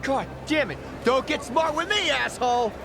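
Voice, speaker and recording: A man's voice: very high voice, lively intonation, moderate pace, creaky, a crowd in the background, exaggerated voice. A man playing a slippery Hawaiian taxi driver and small-time crook in a video game, chatty and smug but cowardly, prone to stammering. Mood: flustered, angry. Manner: chatty, stammering when flustered. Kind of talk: English video game cutscene dub acting. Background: music.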